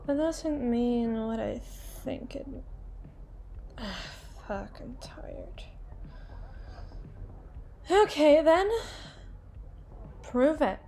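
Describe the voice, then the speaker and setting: A woman's voice: a little high, lively intonation, mellow, a little hiss or hum, exaggerated voice. A woman playing a tipsy, haughty, melodramatic female boss in a flirtatious ASMR roleplay, theatrical and given to playful wordplay. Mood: teasing, boozy, then weary, irritated. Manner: theatrical, drawn-out, playful wordplay, slipping into breathy whispers. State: acting tipsy.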